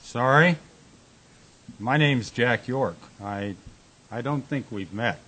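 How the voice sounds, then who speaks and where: A man acting the part of a teasing, exasperated husband in a radio drama, with a rough-edged voice. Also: mid-range voice, takes it slowly, a bit bright. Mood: puzzled, polite. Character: teasing, exasperated husband who runs a bar.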